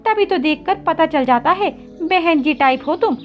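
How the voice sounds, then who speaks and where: A woman's voice: very high-pitched, lively intonation, moderate pace, highs cut off, faint music behind, pitched above the performer's own voice. A woman voicing a haughty, bossy young rich female pigeon who taunts others in a children's cartoon story. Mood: mocking.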